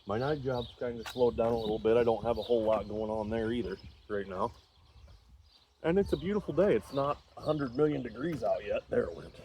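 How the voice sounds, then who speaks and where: A man's voice: mid-range voice, darkish tone, slight background noise. A man chatting in a rambling, folksy way while he works on an old engine, sounding congested. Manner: rambling, folksy, self-deprecating. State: congested, clearing throat. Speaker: small engine repair vlogger. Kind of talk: casual talk while working.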